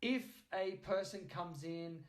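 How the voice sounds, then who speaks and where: A man's voice: high voice, a little slow. A man hosting a podcast, interviewing in a casual, jokey, bantering way with a readiness to chuckle. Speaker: podcast host.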